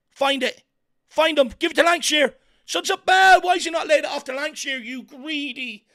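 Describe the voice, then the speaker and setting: An Irish man hosting a fan watchalong, giving excitable partisan live commentary on a football match with a croaky, worn voice. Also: extremely high pitch. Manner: excitable partisan, shouts encouragement at players. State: voice going croaky from hours of talking.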